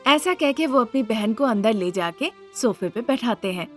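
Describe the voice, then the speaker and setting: A woman's voice: on the high side, animated, moderate pace, faint music behind. A woman narrator reading a scripted cartoon story as a storyteller.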